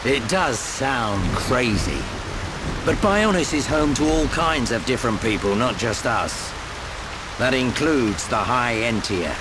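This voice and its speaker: Seasoned gruff mentor in a game, worldly and teasing, mid-pitched, noisy backdrop. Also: male voice, animated, slow. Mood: wry, knowing. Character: worldly, wry older male veteran adventurer, gruff mentor. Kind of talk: video game cutscene voice acting.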